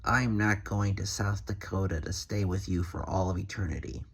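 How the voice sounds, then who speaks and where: A man giving comedic reaction commentary with sarcastic, deadpan quips. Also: deep voice, normal speed.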